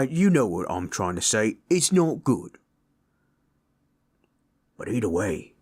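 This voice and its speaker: A gentle orc storyteller, acted by a man with a very low voice. He takes his time, soothing a listener in an intimate roleplay audio with plain, rustic, ungrammatical speech that carries a husky grain. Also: full character voice. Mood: earnest, fumbling, then reflective. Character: gentle, comforting male orc, rough-spoken old-lore storyteller.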